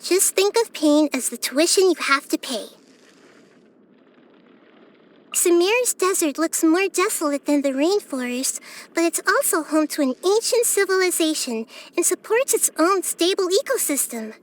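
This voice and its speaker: A woman voicing a wise, gentle, curious child-like goddess in a video game, with a light youthful voice and earnest, thoughtful phrasing. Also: very high voice, expressive, normal speed. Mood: wise, reflective. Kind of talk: video game character voice lines.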